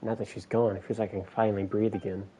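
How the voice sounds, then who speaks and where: A man's voice: fairly low pitch, flat delivery, fast, warm tone, a little hiss or hum, low-quality recording. A man doing casual game commentary as a streamer, musing aloud in a dry, hesitant way. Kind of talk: casual playthrough commentary, reading some lines aloud. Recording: game music behind.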